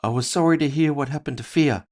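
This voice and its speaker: Man putting on a hulking, friendly orc bruiser, pitched up. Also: quick pace, darkish tone, lightly acted. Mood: concerned. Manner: amiable, unperturbed. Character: hulking male cyborg orc boxer, friendly teddy bear.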